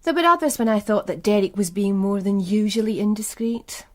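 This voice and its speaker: A woman's voice: medium pitch, a little fast, a little mellow, close to an everyday voice. An actress playing a cool, calculating and seductive businesswoman in a radio crime drama, poised, guarded and knowing. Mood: disdainful. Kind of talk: naturalistic radio drama dialogue.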